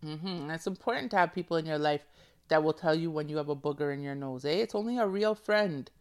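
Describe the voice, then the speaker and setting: A woman touched by speech hosts a podcast and talks in an earnest, emotional way, often repeating phrases to press a point. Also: sits low. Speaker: podcast host. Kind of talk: casual heartfelt monologue.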